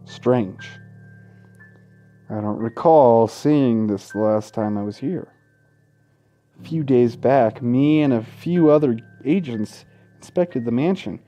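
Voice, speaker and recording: Male let's play host, unhurried pace, soft mellow tone, dramatic reading, casual swearing. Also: mid-range voice. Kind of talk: gaming commentary, reading visual novel text aloud with asides. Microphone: close gaming microphone.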